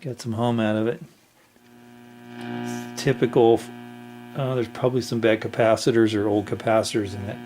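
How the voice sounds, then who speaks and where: Halting man giving a casual demonstration. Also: fairly low pitch, level tone, over music, low-quality recording. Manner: halting, rambling explanation. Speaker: reseller demonstrating a vintage tube radio.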